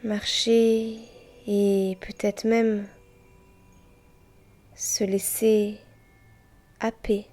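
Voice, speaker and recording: A woman guiding a yoga nidra meditation in a soft, breathy, near-whispering voice, gently and unhurriedly, with long pauses between short phrases. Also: medium pitch, level tone. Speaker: guided meditation teacher and host. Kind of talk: guided yoga nidra meditation, slow and soothing. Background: soft music with chimes.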